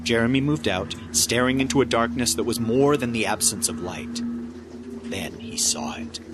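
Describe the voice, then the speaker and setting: A man's voice: mid-range voice, expressive, normal speed. A man narrating a dramatic scripted story. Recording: narration under very loud music.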